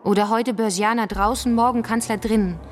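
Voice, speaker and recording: A woman's voice: fairly high pitch, fairly even intonation, a little fast, slight background noise, close to an everyday voice. An actress playing a fervent revolutionary woman in a German radio drama, arguing in a scornful, didactic way. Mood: scornful, insistent. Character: fervent, combative revolutionary woman defending the workers' councils. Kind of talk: German radio drama dialogue, polemical debate.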